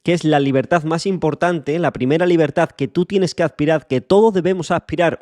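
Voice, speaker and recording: A young man from Extremadura, hosting a podcast as a blunt motivational monologue, swearing freely and slowing down to stress key words. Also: on the high side, darkish tone, dry recording, studio quality. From Extremadura, Spain. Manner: blunt, swears freely, lecturing the listener directly. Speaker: podcast host.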